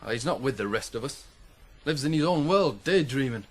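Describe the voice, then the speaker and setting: An actor playing a proud, gruff farm wagoner with a blunt, needling manner in a 1980s radio drama. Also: male voice, medium pitch, lively intonation, fairly quick, lightly acted. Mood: indignant, grumbling.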